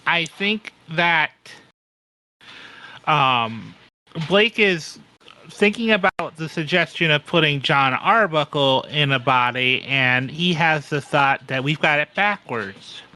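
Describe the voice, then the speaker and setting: A man talking as a player in a tabletop roleplaying game, explaining his move in a halting, thinking-aloud way. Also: on the high side, animated, pushed and bright, poor audio.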